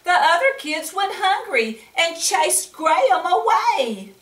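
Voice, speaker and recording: An older woman reads a picture book aloud to children in a grandmotherly way, pausing for chatty asides and coaxing questions. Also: extremely high pitch, big pitch swings, a bit bright. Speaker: storyteller reading to children. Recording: echoey room, distant mic.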